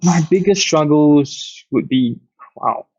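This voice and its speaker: A young man with a Singaporean accent, hosting a casual late-night Q&A, reading questions aloud and answering in a relaxed, rambling way with plenty of fillers. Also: fairly high pitch, slow pace, breathy edge. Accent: Singaporean English. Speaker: app community host answering questions. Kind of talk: casual livestream Q&A. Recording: dull lo-fi dry audio.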